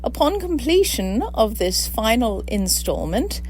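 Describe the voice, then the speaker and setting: Woman acting an official agency announcer, slow, lively intonation, formal, falsely soothing, comedy audio drama. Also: on the high side. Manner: formal, reassuring.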